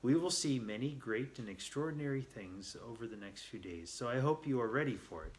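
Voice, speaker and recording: A man's voice: mid-range voice, animated, fairly quick, close to an everyday voice. A man voicing a confident, knowing male desert guide in a children's storybook read aloud. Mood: reassuring.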